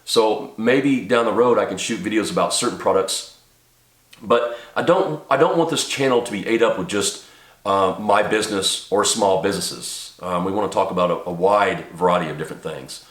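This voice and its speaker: A man's voice: on the low side, normal speed, a bit bright, echoey room. A man hosting his own channel, talking casually to camera about running a small business, slightly congested from a cold, rambling with many ums and restarts. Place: home office.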